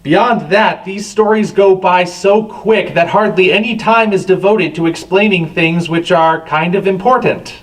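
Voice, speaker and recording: A man's voice: high voice, unhurried, few pauses, fairly bright tone. A man hosting a review with scripted commentary and a wry, sardonic manner. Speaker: reviewer, show host. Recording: distant from the microphone.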